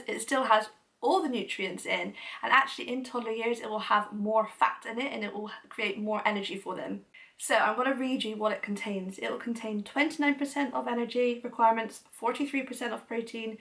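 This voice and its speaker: A woman's voice: mid-range voice, pushed and bright, distant mic. Stuffy-nosed young woman vlogging. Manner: chatty, enthusiastic, many fillers like "you know". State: blocked-up nose from a cold. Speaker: parenting vlogger. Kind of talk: casual explanatory talk to camera.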